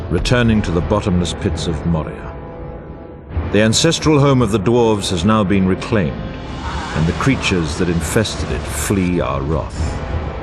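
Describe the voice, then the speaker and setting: Casting: low-voiced, solemn fantasy game narrator, grand archaic phrasing, widely varied intonation, music underneath. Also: male voice. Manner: grave, dramatic, archaic formal delivery. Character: solemn wise war narrator of an epic fantasy saga. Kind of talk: epic fantasy video game cutscene narration.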